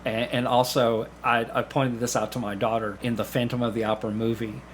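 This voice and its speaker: A middle-aged man talks as a guest on a podcast. His pitch is average and he explains things conversationally, pausing partway through sentences. Faint noise sits behind the voice. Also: little pitch movement. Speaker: podcast guest, actor and hypnotist. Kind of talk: conversational interview.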